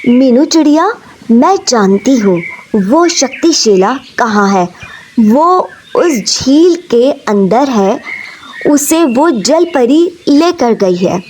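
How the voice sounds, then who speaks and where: A woman's voice: sits high, lively intonation, takes it slowly, a little mellow, slight background noise. A woman voicing a helpful, knowing magic fairy in a cartoon story, with an assured manner.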